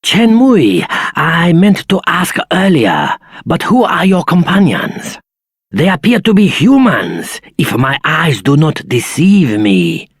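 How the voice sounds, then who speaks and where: A man playing a stately, courteous elder of a dragon folk in a video game, speaking formally and deliberately. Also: a little high, big pitch swings, breathy edge, no room sound, clean studio sound, exaggerated voice. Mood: grave.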